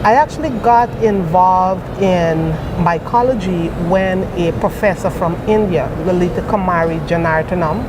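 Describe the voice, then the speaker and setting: Woman, from Guyana, graduate student, measured storytelling, lower voice, clear traffic noise behind. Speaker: PhD student interviewee. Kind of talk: oral history interview answers. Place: outdoors at a conference venue.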